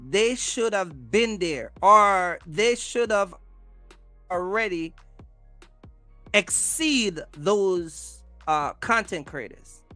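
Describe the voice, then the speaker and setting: Livestream host, slow pace, wide lively pitch, emphatic repetition, casual rambling. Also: dry recording, faint music behind. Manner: emphatic, repeats phrases and restarts often. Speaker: livestream host, content creator.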